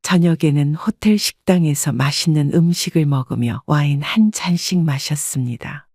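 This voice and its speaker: Calm woman narrating a story. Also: low voice, no room sound, clean studio sound. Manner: calm, measured. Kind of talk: first-person scripted story narration.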